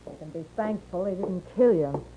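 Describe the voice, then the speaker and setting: An actress playing an outlaw's wary, weary wife in a 1950s radio western, blunt and plain-spoken with a rural American accent. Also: female voice, lowish voice, normal speed, a little hiss or hum, close to an everyday voice. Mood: suspicious. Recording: muffled, band-limited 1950s radio recording.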